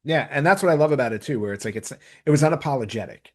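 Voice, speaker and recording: Male podcast host, medium pitch, conversational, enthusiastic, leans hard on repeated phrases for emphasis. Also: animated, rapid-fire, darkish tone. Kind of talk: casual podcast discussion.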